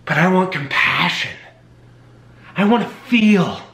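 A young man playing a creepy, needy, unhinged man who craves touch, speaking slowly and haltingly with intensity. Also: sits high, lively intonation, vocal fry, bright, forceful tone, exaggerated voice. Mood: pleading. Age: young adult. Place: small home kitchen. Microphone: camera a few feet away. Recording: roomy, distant camera sound, faint hum.

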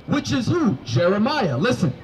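A young man with a very high voice preaches on a busy city sidewalk, microphone in hand. He quizzes the passersby and climbs into commanding shouts. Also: big pitch swings, moderate pace, slight background noise. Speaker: street preacher. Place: city sidewalk by a food cart. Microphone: handheld microphone.

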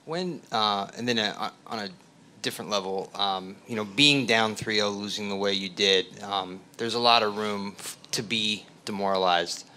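A man working as a sports reporter, asking halting questions at a press conference. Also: lowish voice, pushed and bright. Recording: room noise behind voice.

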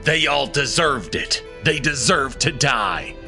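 A man's voice: medium pitch, animated, slow, vocal fry, bright, forceful tone, faint music behind, full character voice. A man playing a bewildered, volatile asylum patient with a violent streak in an audio drama. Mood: unhinged rage.

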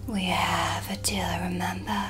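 A young woman playing an eerie, commanding dark witch with quiet menace in a soft-spoken ASMR roleplay, deliberate and whispery, close to the microphone. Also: lowish voice, level tone, soft background music. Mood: calm, mysterious.

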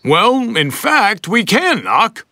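A man voicing a gentle, thoughtful and slightly pedantic moose in a children's cartoon, speaking in a deliberate, reasoning way. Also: high-pitched, huge pitch swings, no room sound, full character voice. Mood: confident. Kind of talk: children's animated series voice acting.

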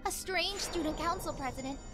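A woman's voice: very high voice, fairly quick. A woman voicing an anxious, naive and curious teenage schoolgirl in an anime fan dub. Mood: puzzled. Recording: music under the dialogue.

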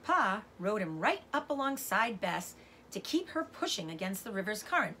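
A middle-aged woman reading a children's novel aloud as a teacher does, with expressive narration. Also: medium pitch, moderate pace, slight background noise. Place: indoors, room with bookshelves.

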